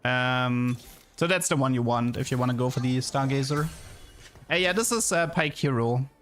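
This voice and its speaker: A man's voice: medium pitch, moderate pace, a bit bright. A man with a German accent, chatting casually with viewers on a game livestream in a rambling, self-amused way. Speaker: game streamer. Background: music.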